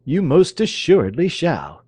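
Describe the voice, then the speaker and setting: A man playing a stern, overbearing older father who blusters and threatens his daughter. The voice is raised and forceful, booming in a dry, close reading. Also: huge pitch swings, measured pace, slightly rough, no room sound, exaggerated voice.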